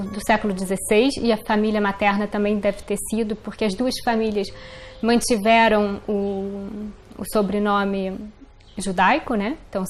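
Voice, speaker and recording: A woman's voice: mid-range voice, a little mellow, slight background noise. A woman with a Brazilian accent telling personal family history in a documentary interview. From born in Portugal to Brazilian parents.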